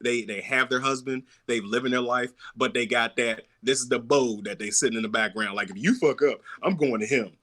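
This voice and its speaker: A man hosting a casual podcast, telling a story and reading it aloud, with animated, profane asides. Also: medium pitch, fairly quick, few pauses. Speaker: podcast host.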